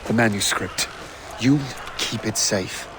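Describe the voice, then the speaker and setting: A man's voice: on the low side, slow, background noise. A man playing a proud, commanding colonial army colonel in a dramatic video game performance. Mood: grave, commanding.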